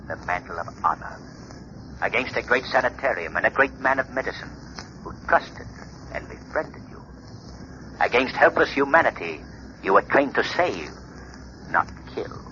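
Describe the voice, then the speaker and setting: A man's voice: deep voice, sharp tone, slight background noise. A man acting an ominous, stern avenger in a 1930s radio drama, grave, declamatory and menacing. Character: ominous male avenger, stern judge of criminals. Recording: dull 1930s radio recording.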